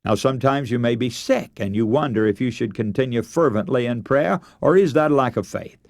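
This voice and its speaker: A man's voice: mid-range voice, brisk, vocal fry, dry recording, studio quality. A man preaching a radio sermon, earnest and emphatic, telling stories and posing rhetorical questions.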